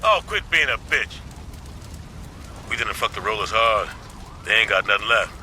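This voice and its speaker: Tough, blunt male gang member, game cutscene. Very bright, hard-edged voice, faint outdoor noise. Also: fairly low pitch, big pitch swings, normal speed, heavily acted. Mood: wary, blunt. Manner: blunt, foul-mouthed. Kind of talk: video game cutscene acting.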